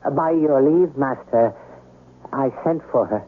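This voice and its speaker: A man's voice: medium pitch, a little slow, close to an everyday voice. A man playing a loyal male servant in a radio drama, hesitant, deferential and fearful. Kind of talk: old-time radio drama acting. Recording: tinny, narrow old radio recording.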